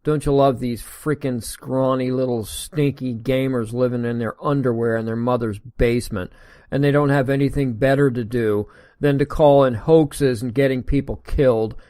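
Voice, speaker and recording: A man's voice: mid-range voice, steady tone, normal speed, warm tone, no room sound, studio quality. An American man hosting a podcast, reading an article aloud and breaking off into sarcastic, indignant asides. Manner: sarcastic, indignant asides between read passages. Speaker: podcast host. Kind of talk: casual solo commentary reading an article.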